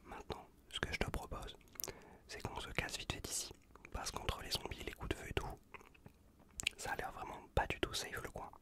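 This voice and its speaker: Man in a hushed ASMR whisper. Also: moderate pace. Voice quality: breathy unvoiced whisper. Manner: casual, colloquial, reassuring instructions with soft repetition. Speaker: ASMR performer playing an improvised examiner. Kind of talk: whispered ASMR medical exam roleplay. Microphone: close USB condenser microphone.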